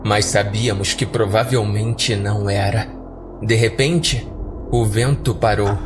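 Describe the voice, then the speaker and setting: A man with a Brazilian accent narrating a scripted first-person horror story for a podcast. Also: on the low side.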